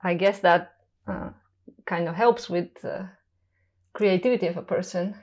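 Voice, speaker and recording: A woman's voice: lowish voice, measured pace, darkish tone. A woman hosting a podcast interview, asking short, curious questions. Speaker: podcast host. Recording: dull lo-fi sound.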